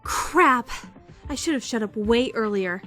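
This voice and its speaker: A woman's voice: fairly high pitch, lively intonation, measured pace, bright, forceful tone. A woman playing a prim, bookish young student who is easily indignant, in a fan-dubbed visual novel with formal, theatrical delivery. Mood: embarrassed. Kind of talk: first-person visual novel fan dub narration and dialogue. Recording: music behind the voice.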